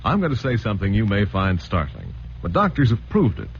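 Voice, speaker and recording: A man with an American accent announcing and reading a sponsor pitch in 1940s radio style. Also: huge pitch swings, fairly quick, creaky. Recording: muffled old radio recording, faint noise.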